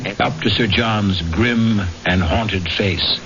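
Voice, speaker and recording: A man's voice: lowish voice, a bit bright. Ominous male radio mystery host. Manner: deliberate, theatrical, ominous. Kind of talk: scripted suspense narration, vintage radio. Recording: dull vintage radio recording with music.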